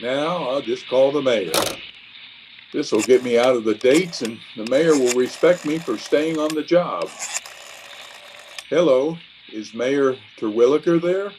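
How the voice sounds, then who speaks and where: A man's voice: medium pitch, a little slow, darkish tone, slight background noise, lightly acted, low-quality recording. Man playing a pompous, blustery middle-aged uncle. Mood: blustering. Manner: pompous, hammy, exclamatory. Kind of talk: old-time radio comedy acting.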